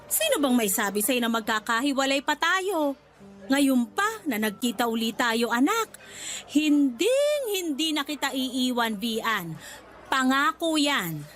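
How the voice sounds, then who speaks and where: An actress playing an older, devoted nanny in a Tagalog radio drama, tender and emotional in manner. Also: female voice, high-pitched, very animated, a little slow, a bit bright, heavily acted. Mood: tender, reassuring. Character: older tearful devoted nanny, motherly and faithful. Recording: background music under the dialogue.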